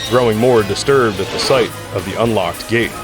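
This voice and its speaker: A man's voice: fairly low pitch, normal speed, over music. A man serving as narrator, reading scripted dramatic story narration.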